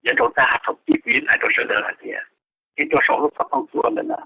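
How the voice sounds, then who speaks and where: A man's voice: very high voice, huge pitch swings, fast, creaky, a little hiss or hum. A man speaking conversationally as a participant on a telephone conference call. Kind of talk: conversational speech on a group call. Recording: dull, lo-fi telephone conference line.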